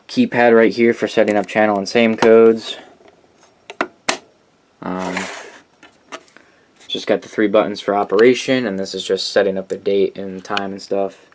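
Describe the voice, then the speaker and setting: A man's voice: on the low side, fairly even intonation, measured pace, pauses often, a little mellow, slight background noise. A man giving a casual, unscripted show-and-tell as a hobbyist collector, rambling informally with enthusiasm.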